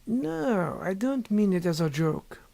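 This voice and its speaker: A man voicing a sly, impassive, secretive scholar in an audiobook story, with measured, deliberate and formal phrasing. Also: high-pitched, very animated, gravelly, warm tone, no echo, voice pushed up, heavily acted. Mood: teasing, impassive. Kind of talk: audiobook character dialogue.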